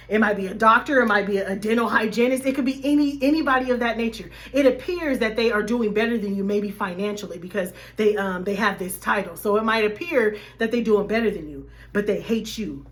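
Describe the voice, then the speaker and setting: A woman life coach, slightly hoarse, talking animatedly to her viewers in a casual faith-based vlog. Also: mid-range voice. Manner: animated, addresses viewers as y'all.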